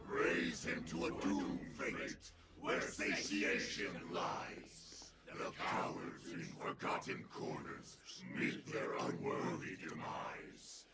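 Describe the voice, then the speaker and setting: An actor playing a savage wolf warlord in a video game, with a gravelly, snarling voice and a grandiose, theatrical delivery. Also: male voice, very high voice, wildly swooping pitch, slow, heavy background noise, heavily acted. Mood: savage battle fervor. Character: savage wolf warlord rallying his pack to massacre. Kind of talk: dramatic video game villain acting.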